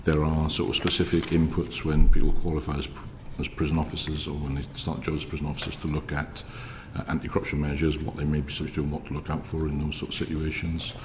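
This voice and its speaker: A man with a very low, quiet voice giving evidence as a prison official, hesitant and procedural. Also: completely flat delivery, fast, hardly any pauses. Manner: hesitant, filler-heavy, procedural. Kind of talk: formal evidence to a committee. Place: parliamentary committee room. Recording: reverberant hall with faint noise.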